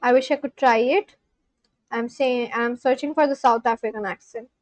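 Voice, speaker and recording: A woman's voice: on the high side, steady tone, normal speed, dry recording. A woman from India, chatting casually on a live stream at home and answering her chat aloud. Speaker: language-learning livestreamer. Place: at home at a laptop.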